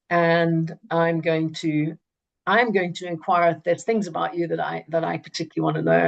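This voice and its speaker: Low-voiced woman host from Zambia. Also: creaky, dull audio. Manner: halting, many restarts, enthusiastic. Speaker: webinar host. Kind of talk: hosting an online webinar.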